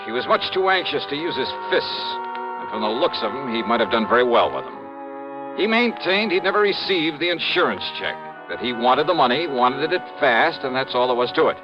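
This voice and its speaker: A tough, sardonic American investigator, acted by a man in an old-time radio detective show. His casual, probing delivery is very lively in pitch, on a muffled vintage recording with music at times. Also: normal speed, lightly acted. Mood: wry, narrating. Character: wry, tough freelance insurance investigator, skeptical and probing. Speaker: lead actor and narrator. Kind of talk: 1950s radio detective drama acting.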